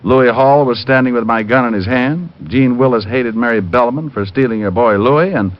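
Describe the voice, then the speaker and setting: A man's voice: medium pitch, moderate pace, lightly acted. A man playing a cocky, wisecracking private detective in an old radio drama, breezy, sardonic and hard-boiled. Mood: scheming, brisk. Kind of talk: 1940s-50s radio detective drama acting and narration. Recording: muffled old radio recording.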